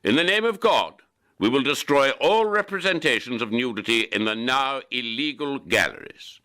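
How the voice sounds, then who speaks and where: Man acting as a forceful zealot ruler issuing decrees. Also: medium pitch, animated, normal speed. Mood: stern, zealous. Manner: declamatory, commanding. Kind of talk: scripted speech in an audio drama.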